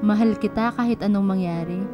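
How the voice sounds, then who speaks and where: A woman's voice: mid-range voice, brisk, dull audio, natural voice. A woman playing a protective, loving, humble mother in a radio drama. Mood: tender, reassuring. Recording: music bed behind the voice.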